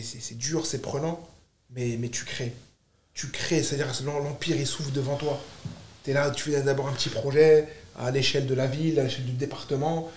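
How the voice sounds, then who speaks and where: A man's voice: mid-range voice, a little mellow, echoey room, slight background noise. A man from France speaking casually as a podcast guest, emphatic, repeating and piling up short phrases. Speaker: podcast guest, startup founder. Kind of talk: casual, animated conversation.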